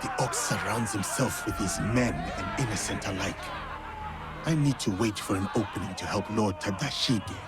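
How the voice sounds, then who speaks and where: A man's voice: lowish voice, moderate pace, over loud music, a loud crowd, close to an everyday voice. A man playing a stern, watchful warrior in a video game, with a terse and resolute delivery. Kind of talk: dramatic video game cutscene acting.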